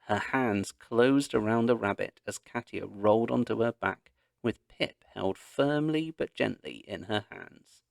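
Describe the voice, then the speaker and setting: Male children's audiobook narrator, low and slow, expressive and dramatic. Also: gravelly, dry recording, clean studio sound. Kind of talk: expressive children's story read-aloud.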